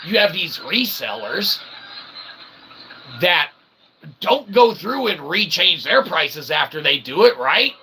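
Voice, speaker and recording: A man's voice: on the high side, sing-song, slow, long pauses, bright, forceful tone, slight background noise, low-quality recording. A man delivering an opinionated, rambling solo monologue, emphatic and ranting, often checking in with short tag questions. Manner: emphatic, ranting, peppered with "okay?" and "right?"; casually profane. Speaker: independent author and channel host.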